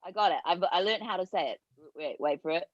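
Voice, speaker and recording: New woman, contestant, hesitant and giggly, low voice, dull lo-fi call audio. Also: very fast, dry recording. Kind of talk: casual chat. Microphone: video call.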